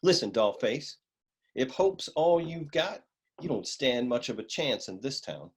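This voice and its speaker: Male actor as wisecracking noir gumshoe: husky, very animated pitch, slangy deadpan tough-guy lines for radio comedy. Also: measured pace, exaggerated voice. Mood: cocky. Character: wisecracking hardboiled male private eye. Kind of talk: humorous noir radio serial acting.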